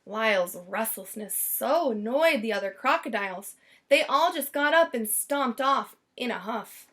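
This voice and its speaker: High-pitched, expressive woman storyteller. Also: measured pace. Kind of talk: expressive children's picture-book read-aloud.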